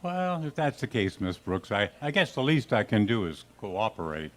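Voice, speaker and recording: A man's voice: fairly low pitch, big pitch swings, normal speed. A man playing a naive, earnest, easily flustered teacher with a pedantic streak in an old-time radio comedy. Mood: agreeable, earnest. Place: live stage performance at a radio history event.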